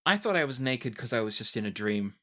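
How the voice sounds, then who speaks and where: A man acts out a young fanged man fresh from the grave in a tabletop game. He speaks hesitantly and politely at a middling pitch, bewildered by everything around him. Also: animated, brisk, a bit bright, natural voice. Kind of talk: improvised tabletop roleplay.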